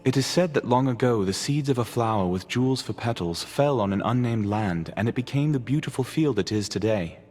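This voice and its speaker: An actor playing a solemn storyteller, narrating ancient lore for a fantasy video game. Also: male voice, medium pitch, animated, brisk, hardly any pauses, darkish tone, lightly acted. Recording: long reverb, music behind.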